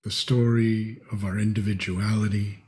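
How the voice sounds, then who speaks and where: A man leading a guided meditation, speaking in short, spacious phrases with long pauses. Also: lowish voice, little pitch movement, a little mellow.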